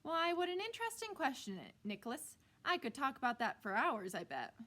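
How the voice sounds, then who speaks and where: A woman's voice: high-pitched, big pitch swings, brisk, pushed and bright, exaggerated voice. Woman voicing a falsely sweet, stern schoolteacher. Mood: sweetly knowing. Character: stern, sweetly sly female language arts teacher.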